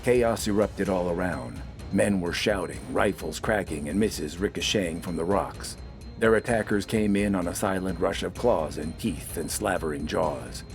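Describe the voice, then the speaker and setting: A man's voice: deep voice, normal speed, gravelly, soft background music. A man reading scripted, dramatic fiction as an audiobook narrator.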